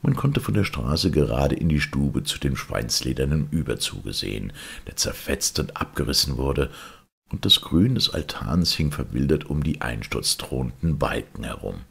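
A man narrating a fairy tale expressively, with a gravelly, husky voice. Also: extremely low pitch, very animated, moderate pace, fairly bright tone. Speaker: audiobook narrator. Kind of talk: expressive scripted storytelling.

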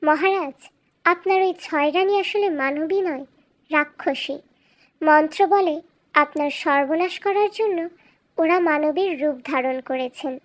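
Woman voicing a tiny, very high-pitched clever bird. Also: a little slow. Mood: earnest warning. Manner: taunting, coaxing, theatrical. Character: clever, cheeky little talking sapphire bird.

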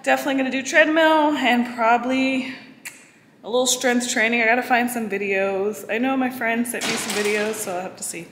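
A woman's voice: a little high, steady tone, brisk, background noise. A young woman vlogging in an apartment gym, out of breath and chatty, poking fun at herself. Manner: chatty, self-mocking. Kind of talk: casual vlog chat. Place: large apartment-complex gym, indoors. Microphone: handheld camera at arm's length. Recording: echoey room, from off the mic.

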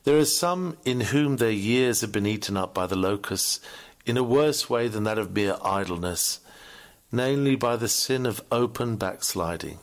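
A man reading an old Victorian sermon aloud in an earnest, measured, oratorical manner. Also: lowish voice, lively intonation. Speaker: sermon reader.

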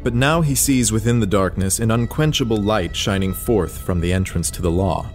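A man's voice: on the low side, normal speed, faint music behind. A man narrating a story in an audio drama, reading scripted prose as the narrator.

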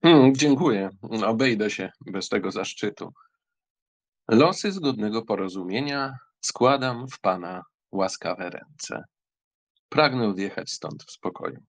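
A man playing a polite, ironic young German count in a dramatised audiobook, measured and wry. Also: fairly low pitch, animated.

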